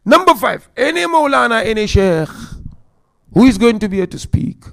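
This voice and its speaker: Gravelly-voiced man preaching at a majlis. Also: very high-pitched, sing-song. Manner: counts points aloud, chants Quran verses quickly; swings between loud emphasis and quiet asides. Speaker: Muharram majlis speaker. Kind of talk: religious lecture.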